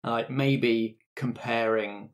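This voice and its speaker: A man's voice: medium pitch, steady tone, very slow. A man hosting and narrating a tabletop roleplay podcast as game master, talking conversationally and prompting his players with questions.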